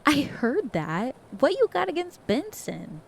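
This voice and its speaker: An actress playing a lively, teasing woman in her thirties, in a naturalistic audio drama. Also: sits high, measured pace, gravelly, heavily acted. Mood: playful. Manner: playful, teasing, animated. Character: lively, teasing woman housemate, a live wire.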